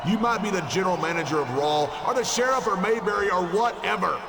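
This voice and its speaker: A man's voice: sits high, quick pace, gravelly. A man acting an arrogant, wealthy wrestling champion, boasting in a pompous, declamatory promo style. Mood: scornful, defiant. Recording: loud arena crowd noise behind.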